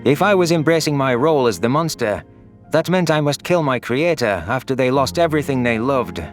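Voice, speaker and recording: A man's voice: mid-range voice, animated, brisk, faint music behind, lightly acted. A man voicing an anguished, vengeful young furry alien who narrates his own audio story in an earnest, solemn, dramatic way.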